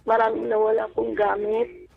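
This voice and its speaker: Woman caller, creaky voice on dull line. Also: a little high, completely flat delivery. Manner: halting, polite, uses respectful "po" often. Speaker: caller telling their story to a radio advice show. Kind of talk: personal storytelling with reported dialogue. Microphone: telephone. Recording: dull, band-limited radio phone call.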